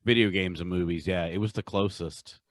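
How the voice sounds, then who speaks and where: Low-voiced man in casual enthusiastic podcast chat. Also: steady tone, fairly quick, no echo. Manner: enthusiastic, often repeats himself for emphasis. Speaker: podcast co-host. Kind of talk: casual pop-culture countdown chat.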